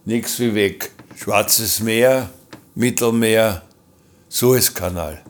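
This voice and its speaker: A man's voice: lowish voice, drawn-out words. A man playing a plain-spoken, hard-of-hearing seaman in a radio play. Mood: wistful. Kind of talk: radio play character monologue.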